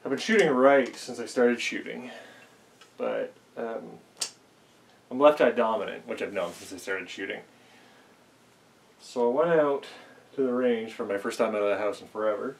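A man's voice: medium pitch, normal speed, vocal fry, pauses often. A young man musing casually to camera, rambling with lots of fillers and self-deprecating asides. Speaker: archery vlogger. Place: small basement archery range, indoors. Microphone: camera mic at a few meters while he moves and shoots. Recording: distant camera mic with faint noise.

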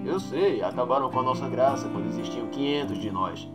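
A cocky old adventurer, played by a man in a comic-book dub, talking with a Brazilian accent. His delivery is breezy and persuasive, with animated pitch over prominent music. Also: brisk, lightly acted. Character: cocky old blind male ex-hero, eager talker. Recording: music under the voice.

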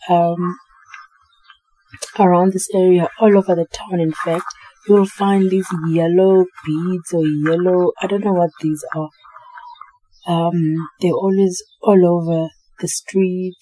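A South African woman narrating her cleaning vlog in a relaxed, chatty voice-over, often repeating herself. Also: fairly low pitch, rough recording. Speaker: home cleaning vlogger.

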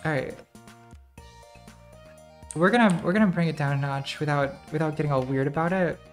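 A woman in her thirties with a very soft, quiet voice, chatting casually to her live-stream chat while playing a game, self-deprecating and laughing often. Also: low voice, a little fast, soft background music. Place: home streaming room, indoors.

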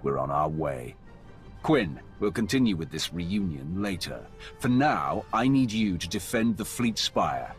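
A man's voice: deep voice, very animated, a little slow. An actor voicing a commanding male Sith warrior who leads an alliance army, speaking in a cold, clipped way in a video game. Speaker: player character, alliance commander. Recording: game audio with music score.

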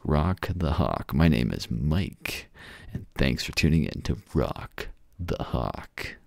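A too-cool rock radio DJ, acted out by a man, laid-back and indifferent. His slacker delivery is low and gravelly. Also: sing-song, slow pace, darkish tone, dry recording, clean studio sound, full character voice.

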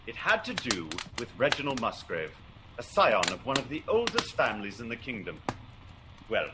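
An actor playing a shrewd, self-assured gentleman detective in a classic radio drama, brisk and incisive. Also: mid-range voice, natural voice. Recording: noisy old radio recording with clicks.

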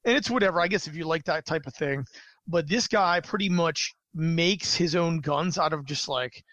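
A man's voice: fairly high pitch, normal speed, fairly bright tone, dry recording. A man, a small business owner, chatting as a podcast guest, rambling with lots of fillers and restarts. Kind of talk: casual interview chat.

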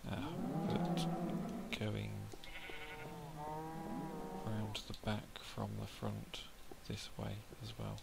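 A man with a hoarse throat, quietly muttering casual gameplay commentary to himself as he builds. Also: on the low side, lively intonation, very slow, pauses often. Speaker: gameplay video host. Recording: home mic with loud background noise. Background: cows mooing in the game.

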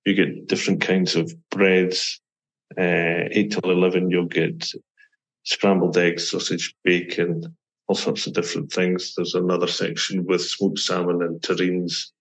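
A middle-aged man from Scotland with a Scottish accent, a guest on a travel podcast, calmly explaining visitor details and listing them one by one. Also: low-pitched, measured pace, low-quality recording. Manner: explanatory, lists details steadily. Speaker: guest from a mountain attraction. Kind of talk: podcast interview.

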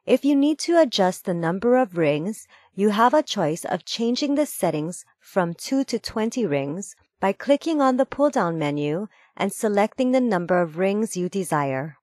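A woman reading a scripted instructional voice-over in a measured, formal, step-by-step manner. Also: medium pitch, lively intonation, darkish tone, no room sound. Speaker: tutorial narrator.

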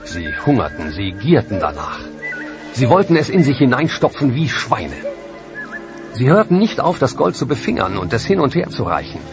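A man's voice: medium pitch, big pitch swings, normal speed. An actor voicing a scornful Aztec eyewitness, a man telling events vividly. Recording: narration over loud music.

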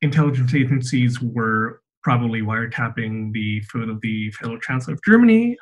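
A young man speaks as a podcast guest in a mid-pitched voice. He explains things in long, articulate stretches and slips in the odd dry joke. The tone stays conversational. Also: highs cut off. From Austria. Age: 20s. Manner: articulate, explanatory, lightly joking. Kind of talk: casual discussion.

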